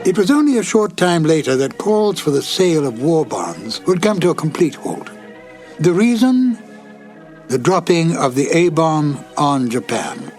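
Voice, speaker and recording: A man's voice: fairly high pitch, a little mellow. A man giving reflective scripted narration as a programme's narrator.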